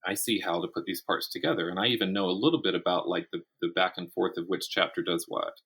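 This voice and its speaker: A man speaking as a writer guest in a conversational interview, telling things in an animated way and voicing imagined quotes. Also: deep voice, quick pace, breathy edge.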